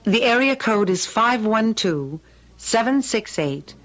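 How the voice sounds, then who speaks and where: An actress playing an anxious, determined middle-aged wife, earnest and halting, in an old-time radio drama. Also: female voice, a little high, animated, a bit bright. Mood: urgent, determined. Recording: dull lo-fi radio drama recording.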